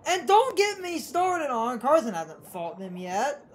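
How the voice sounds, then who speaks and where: A woman with a high, very lively voice co-hosts a casual let's play. She is blunt and opinionated, talking at an unhurried pace. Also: slight background noise. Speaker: co-host of a let's play series. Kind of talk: casual gaming chat.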